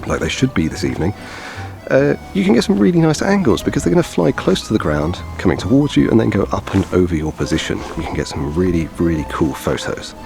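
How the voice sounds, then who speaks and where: A man's voice: low voice, sing-song, quick pace, creaky, darkish tone, soft background music. A man in his thirties explaining photography technique on an outdoor walk, sounding a bit tired, gesturing as he talks. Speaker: wildlife photographer. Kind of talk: outdoor vlog tutorial. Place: outdoors on a grassy path by wetland. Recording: outdoor noise behind the voice. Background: chainsaws and traffic in the distance.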